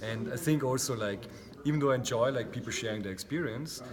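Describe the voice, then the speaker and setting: A man's voice: mid-range voice, quick pace. A man from Austria, a guest in a casual interview, talking earnestly and reflectively with many fillers. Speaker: interview guest, meetup founder. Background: crowd chatter.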